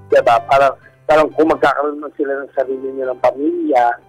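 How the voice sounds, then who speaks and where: A man's voice: mid-range voice, little pitch movement, fairly quick, no room sound, faint music behind, low-quality recording. A man with a Filipino accent speaking politely and casually as a guest sharing personal experiences. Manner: polite, using respectful particles. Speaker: guest telling their own story.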